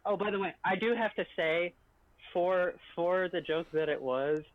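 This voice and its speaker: A man's voice: sits high, normal speed, no room sound, narrow, tinny audio. A man chatting casually as a panelist on a livestream. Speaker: stream panelist, editor.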